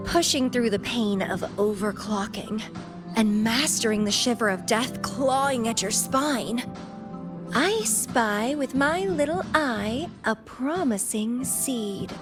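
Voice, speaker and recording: A slow, teasing, dangerous temptress. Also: female voice, fairly high pitch, over music. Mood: seductive, playful. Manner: teasing, theatrical, unhurried. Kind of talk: video game voice acting.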